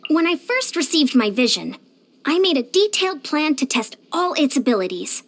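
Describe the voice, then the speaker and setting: A woman's voice: high voice, a bit bright. A woman voicing a short, youthful female fitness coach in a video game, peppy, encouraging and earnest. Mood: earnest, explanatory. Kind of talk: video game character voice lines.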